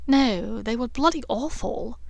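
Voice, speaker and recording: A woman's voice: on the high side, measured pace, gravelly, a little mellow, no echo. A woman voicing a haughty, sardonic teenage wizard boy with a dry, adamant manner in an audiobook.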